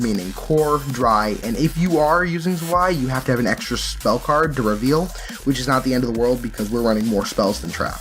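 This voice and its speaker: A man giving a casual, explanatory walkthrough as a card game player presenting a deck. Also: mid-range voice.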